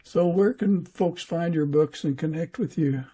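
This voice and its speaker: A man hosting a podcast in a casual interview style, talking haltingly with pauses mid-sentence. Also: a little high, dark tone, slightly rough. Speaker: podcast host.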